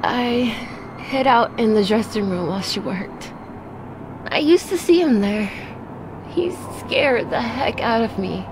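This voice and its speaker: A tough, wounded young woman with a streetwise, defiant way of talking. Her voice sits a little high, with clear ambient noise behind it. It is played as cinematic audio drama. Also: expressive, slow. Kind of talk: film-style audio drama acting.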